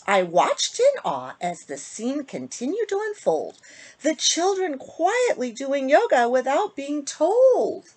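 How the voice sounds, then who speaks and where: A woman children's author with a slightly husky voice, reading a storybook aloud to young listeners and chatting to them in an animated way. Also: high voice, slow pace. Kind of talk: casual intro and expressive storybook reading to children.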